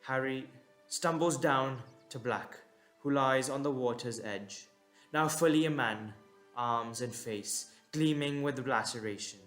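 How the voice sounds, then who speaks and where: Male narrator for fantasy audio play: mid-pitched, very unhurried, suspenseful, deliberate pauses, light music bed. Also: a bit bright. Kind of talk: dramatic scripted audio story narration.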